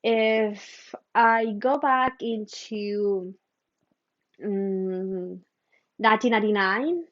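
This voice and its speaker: A woman's voice: medium pitch, steady tone. Woman, slightly muffled recording. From Mexico. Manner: halting, thoughtful, with humming pauses. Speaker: spiritual teacher. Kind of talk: spiritual teaching talk.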